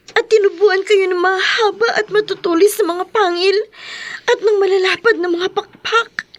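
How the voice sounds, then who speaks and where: A woman playing a desperate, tearful young woman in a Filipino horror radio drama, with a pleading, emotional delivery. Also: very high voice.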